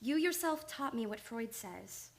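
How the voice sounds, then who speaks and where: A woman's voice: fairly high pitch, lively intonation, measured pace, vocal fry, a little hiss or hum. A woman playing an earnest, devoted analyst in a radio drama, insistent and pleading in urgent, emphatic phrases. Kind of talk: old-time radio drama acting.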